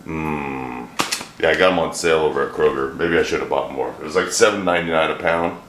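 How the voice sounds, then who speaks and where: Brief: middle-aged man, very low and a bit hoarse, rambling dry humor, echoey home kitchen with faint hiss. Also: brisk. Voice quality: deep, a little hoarse from a throat bug. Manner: joking, rambling, dry self-deprecating humor. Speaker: vlogger, home cook. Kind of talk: casual cooking vlog chat.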